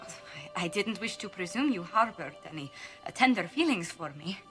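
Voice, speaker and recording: A woman's voice: mid-range voice, expressive, normal speed, a bit bright, faint music behind, lightly acted. An actress playing an earnest, proper, easily flustered noblewoman in a video game, with a polite, precise, formal manner. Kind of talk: video game cut-scene acting.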